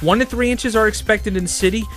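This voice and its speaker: A man delivering radio weather forecasts as a meteorologist, with brisk, upbeat forecaster patter. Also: high voice, fairly bright tone, faint music behind.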